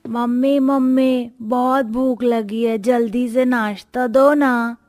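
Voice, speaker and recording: A woman's voice: fairly high pitch, steady tone, slow, darkish tone, dry recording. A woman playing a whiny, insistent small child in a cartoon story. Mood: pleading.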